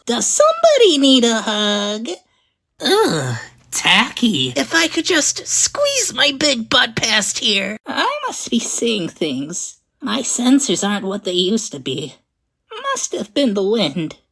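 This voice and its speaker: A woman's voice: fairly high pitch, wildly swooping pitch, slow, gravelly, a bit bright, heavily acted. A woman voicing a sassy, clumsy, menacing bird animatronic in a video game, theatrical and mock-sweet. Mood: sly, teasing. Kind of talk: video game character voice lines.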